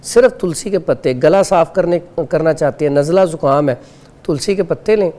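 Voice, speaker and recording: A man with a Pakistani Urdu accent, a guest expert on a TV morning show, calmly explaining home remedies step by step. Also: on the high side, normal speed. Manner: calm, instructive, lists remedies step by step.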